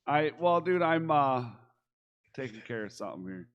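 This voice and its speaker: A man's voice: medium pitch, big pitch swings, normal speed, clear, resonant tone, muffled recording. A man hosting a wrestling podcast, easygoing and brief in his replies. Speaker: podcast host. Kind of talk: podcast chat.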